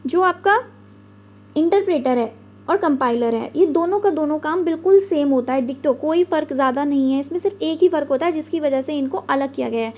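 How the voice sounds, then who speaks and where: High-voiced woman teaching computer basics. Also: fairly quick, darkish tone. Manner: patient, repeats points with everyday examples. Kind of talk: explanatory lecture.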